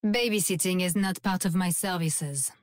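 A woman's voice: lowish voice, moderate pace, no echo, natural voice. An actress playing a cold, aloof female sniper assassin with a French accent and a clipped, disdainful manner. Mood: cold, dismissive.

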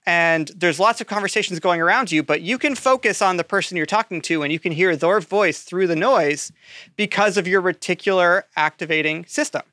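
A man's voice: sits high, a little fast, pushed and bright, dry recording, clean studio sound. A man explaining ideas in casual talk, with nerdy enthusiasm and frequent check-ins with his listener.

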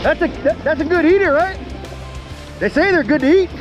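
A middle-aged man chatting casually and excitedly in slang for an outdoor fishing vlog, recorded outdoors on an action camera. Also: extremely high pitch, brisk, over music. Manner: excitable, slangy, calls people bro and baby. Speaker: fishing vlogger. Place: outdoors on a surf beach. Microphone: body or handheld action camera.

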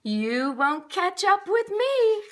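Storybook snowman lad, played by a woman: wild, taunting, chanting in a bright, raised voice. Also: very high voice, big pitch swings, pitched above the performer's own voice, exaggerated voice. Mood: gleeful taunting. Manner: sing-song chanting, drawn-out line endings. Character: cheeky young boy snowman, wild, taunting, boastful.

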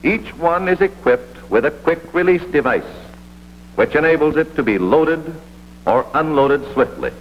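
A man's voice: fairly high pitch, animated, a little slow. An American man narrating a vintage military training film in a scripted, authoritative instructional manner. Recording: dull vintage film soundtrack with hum and hiss.

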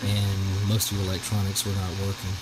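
A middle-aged man giving a casual hands-on demonstration in a halting, hesitant way, recorded on a handheld camera. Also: low-pitched, monotone, loud music behind the voice, low-quality recording. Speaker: homestead vlogger. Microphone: handheld camera, speaker behind it.

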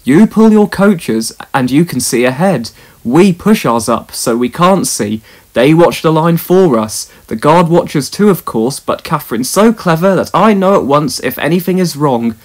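A man's voice: fairly high pitch, expressive. Man voicing Caldy, a wise old engine. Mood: earnest. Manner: measured, storytelling, gently rueful. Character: wise older male mountain tank engine.

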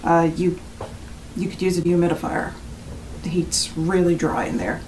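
An actress in an audio drama playing a curt, stressed, critical rental guest, speaking in a blunt, casual and dismissive way. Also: female voice, fairly low pitch, moderate pace, darkish tone, a little hiss or hum, lightly acted, poor audio. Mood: mildly complaining. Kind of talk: naturalistic audio drama acting.

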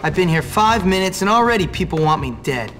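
Tough new kid at school, game role: teenage, high-pitched, lively, curt and defiant. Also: male voice, a little fast, a little hiss or hum. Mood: surly. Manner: curt, combative, sardonic. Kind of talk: video game cutscene acting.

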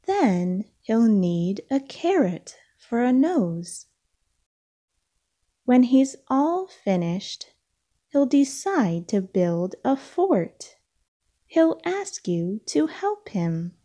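A woman reading a children's storybook aloud, with deliberate, expressive phrasing for young listeners. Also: fairly high pitch. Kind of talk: read-aloud storybook narration.

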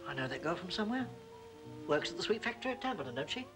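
A man's voice: sits high, very animated, very fast. A wry working-class English man, a pub regular in a 1940s film drama. He sounds sceptical and down to earth. Music is heard behind him on the old soundtrack. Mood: amused, leering. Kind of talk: 1940s British film acting.

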